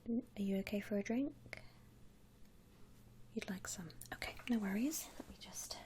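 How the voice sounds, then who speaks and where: A woman whispering softly and breathily in an attentive, gentle customer-service ASMR roleplay, close to the microphone. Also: medium pitch, normal speed, slight background noise. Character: polite, attentive female vampire coffin-shop consultant. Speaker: ASMR roleplay performer, playing a shop consultant.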